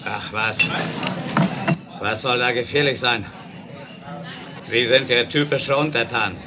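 Domineering American rail magnate for a radio play; mid-range voice, explosive temper, emphatic commands, noisy vintage sound. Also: male voice, medium pitch, slow. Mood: angry, defiant. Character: hot-tempered, bullying male American railroad boss. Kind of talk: 1970s German audio drama acting.

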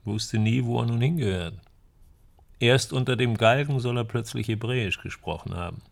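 A man's voice: medium pitch, moderate pace, vocal fry, natural voice. A man acting in a radio drama as a bookish, wry friend in 1930s Germany, musing with dry amusement. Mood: wry, reflective.

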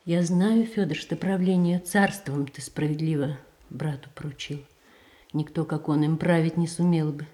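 A woman's voice: deep voice, fairly even intonation, close to an everyday voice. An actress playing a tsaritsa who speaks earnestly and persuasively to her husband in a Soviet-era radio drama. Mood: pleading. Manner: earnest, measured, softly persuasive. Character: tsaritsa Irina, gentle pleading wife.

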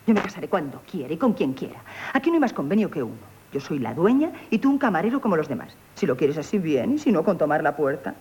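A woman playing a proud, flirtatious, independent innkeeper in a radio comedy, self-assured and brisk, with a Castilian Spanish accent. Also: sits low, big pitch swings, mellow, husky, lo-fi sound, exaggerated voice. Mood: indignant. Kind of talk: theatrical radio comedy acting.

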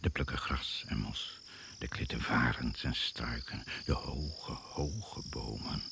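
An actor playing a brooding narrator of a radio jungle thriller, speaking in deliberate, suspenseful phrases. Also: male voice, rumbling low, vocal fry, exaggerated voice. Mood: ominous. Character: brooding, ominous male storyteller of a jungle thriller. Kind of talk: Dutch radio play narration. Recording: noisy old radio play recording.

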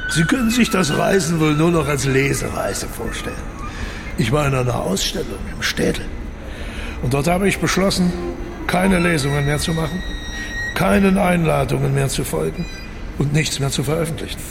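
A giddy middle-aged author in a radio play, high-ish gravelly voice, really animated. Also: male voice, moderate pace, music in the background, heavily acted. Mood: elated, emphatic. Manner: animated, expansive, declamatory. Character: exuberant middle-aged male dissident writer, boyish and euphoric. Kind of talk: radio drama acting.

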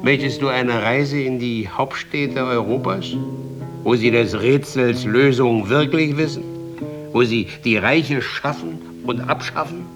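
A man's voice: medium pitch, measured pace, close to an everyday voice. A man playing a sly, taunting minstrel in a German radio drama, telling tales poetically and with mocking questions. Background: plucked guitar-like string music.

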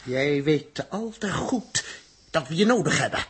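Worked up spaceship guy, hoarse raspy voice, swings up and down, bitter, halting, radio play. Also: on the high side, lively intonation, a bit bright, heavily acted. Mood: seething, bitter. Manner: emotional, halting, seething. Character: bitter, volatile male spaceship crewman at breaking point. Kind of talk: 1960s radio drama acting.

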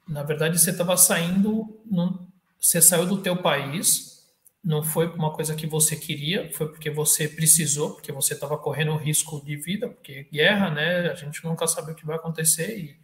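A man co-hosting a live stream, asking long, winding, friendly questions. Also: on the high side. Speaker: co-host. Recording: echoey room with faint noise.

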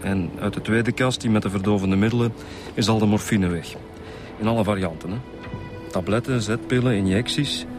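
A methodical male detective, played by an actor in a Flemish radio drama. His voice sits fairly low and he speaks in a factual way over music. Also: moderate pace, lightly acted. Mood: factual, grave. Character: matter-of-fact male homicide detective reporting details. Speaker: inspector.

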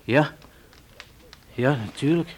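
An actor playing a nervous, defensive young nightclub entertainer in a radio play, with a hesitant, evasive way of talking. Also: male voice, on the high side, takes it slowly, a little mellow. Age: young adult.

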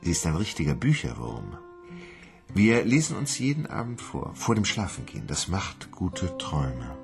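An actor playing a shy, childlike young man in love, speaking softly and dreamily in a radio drama. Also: sits low, very animated, normal speed, gravelly, music in the background, exaggerated voice. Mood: dreamy.

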